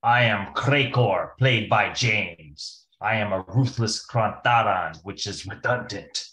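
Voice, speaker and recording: A man's voice: fairly low pitch, slow pace, sharp tone. A man playing a ruthless, menacing alien villain in an amateur radio play. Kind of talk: amateur old-time radio play acting. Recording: roomy, reverberant sound.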